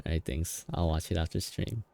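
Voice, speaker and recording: A man's voice: deep voice, brisk, gravelly, dark tone, slight background noise. A man streaming a game, giving casual live commentary in an offhand, reactive way.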